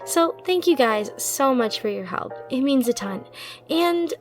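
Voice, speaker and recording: A woman's voice: sits high, expressive, a little slow, faint music behind. A woman hosting her channel, talking casually and directly to listeners in a chatty, upbeat way. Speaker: channel host, audio roleplay performer.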